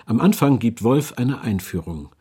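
A man's voice: mid-range voice, expressive, normal speed, warm tone, husky. A man reading explanatory documentary narration from a script in a sober manner.

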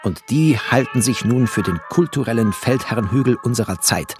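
A man's voice: mid-range voice, lively intonation, normal speed, music in the background, close to an everyday voice. An actor playing a wry, bookish refugee who narrates his journey tersely and sardonically in a literary radio play. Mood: sardonic.

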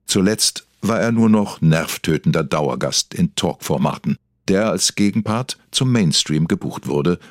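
A man's voice: deep voice, expressive, a little slow, few pauses, no echo, studio quality. A man narrating a mock celebrity documentary as a solemn, scripted storyteller. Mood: deadpan solemn.

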